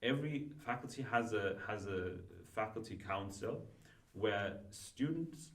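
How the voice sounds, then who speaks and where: A student guest talking casually on a podcast, hesitant and full of restarts. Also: male voice, on the low side, lively intonation, unhurried. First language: not Dutch. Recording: roomy, from far off.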